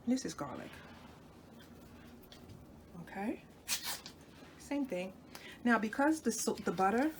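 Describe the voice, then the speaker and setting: A woman with a slightly stuffy nose, chatting her way through a home cooking demonstration and stopping now and then to correct herself. Dishes and utensils clink around her in a noisy kitchen recording. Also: medium pitch. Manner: chatty, talks to viewers while working, self-correcting. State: stuffy from a cold. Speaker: cooking channel host.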